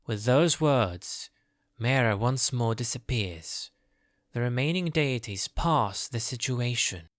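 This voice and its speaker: A male narrator delivers dramatic, scripted fantasy storytelling. His voice sits at a medium pitch, and the recording is close and dry. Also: fairly bright tone, no room sound.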